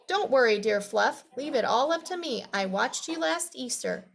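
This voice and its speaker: Eager, earnest big boy elephant for a storybook, voiced by a woman, animated pitch. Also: normal speed, creaky, slight background noise. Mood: kind, confident. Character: kind, eager, clumsy big young male elephant.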